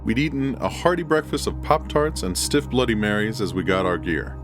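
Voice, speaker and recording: A man's voice: lowish voice, animated, brisk. A man reading a scripted first-person horror story as its narrator. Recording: music bed under the voice. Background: background music.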